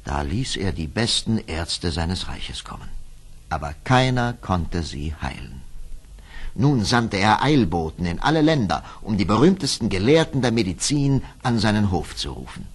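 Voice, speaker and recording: A man narrating a scripted fairy tale with expressive, dramatic storytelling. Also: sits low, a little slow, breathy edge.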